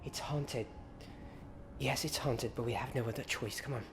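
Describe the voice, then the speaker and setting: A man playing an anxious, urgent young wizard student in an ASMR fantasy roleplay, hushed and halting, with clear noise in the recording. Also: mid-range voice, lightly acted.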